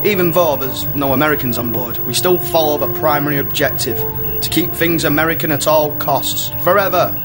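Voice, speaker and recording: A man's voice: on the high side, fairly quick, music in the background, close to an everyday voice. A man playing a pompous, lecherous starship captain in a comedy radio play, grandiose but chummy. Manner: grandiose narrating, slipping into chummy banter.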